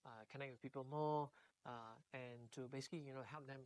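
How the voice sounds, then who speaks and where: A middle-aged man from Singapore speaks with a Singaporean accent at an average male pitch. He talks casually as a podcast guest, often leaning on words like actually and basically. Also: little pitch movement, quick pace. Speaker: podcast guest, real estate agent. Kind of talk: casual interview talk.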